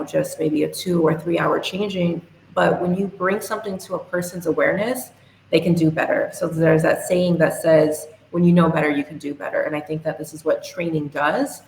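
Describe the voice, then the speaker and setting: A young woman giving earnest, measured answers as a guest on a podcast interview. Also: low voice, vocal fry. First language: English. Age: young adult. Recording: echoey room, faint hiss.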